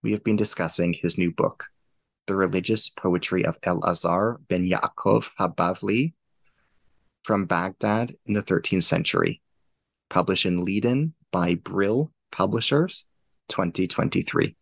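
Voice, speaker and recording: Deep, gravelly podcast host, man. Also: measured pace, no echo. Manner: earnest, effusive thanks. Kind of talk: podcast interview.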